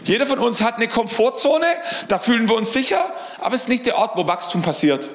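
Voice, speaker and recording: A man's voice: extremely high pitch, expressive, a little fast, fairly bright tone, reverberant. A middle-aged man preaching a sermon as pastor, earnest, often repeating words as he searches for the phrasing.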